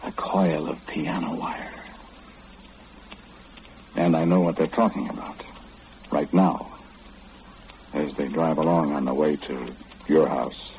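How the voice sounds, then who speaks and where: A man's voice: very deep voice, steady tone, slow pace, long pauses, a little mellow, full character voice. An actor in an old-time radio horror play, playing an omniscient, ominous man who confides insistently and questioningly in the listener. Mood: ominous, confiding. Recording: muffled, hissy old radio recording.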